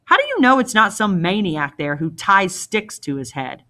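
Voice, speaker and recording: A woman's voice: mid-range voice, very animated, moderate pace, pushed and bright. A woman voicing a proud old king in a storybook read-aloud, scornful and commanding, often mocking with pointed questions. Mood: mocking, persuasive. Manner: imperious, mocking, probing with questions.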